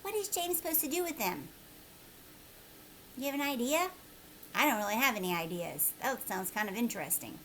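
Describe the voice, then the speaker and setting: A woman teacher doing a children's read-aloud, expressive and conversational, often putting questions to her listeners. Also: on the high side, normal speed, long pauses, a little hiss or hum, poor audio.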